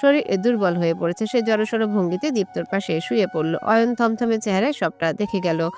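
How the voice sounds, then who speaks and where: A woman's voice: mid-range voice, fairly quick, darkish tone. A woman reading a romantic audio story as scripted narration. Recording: music bed under the voice. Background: music.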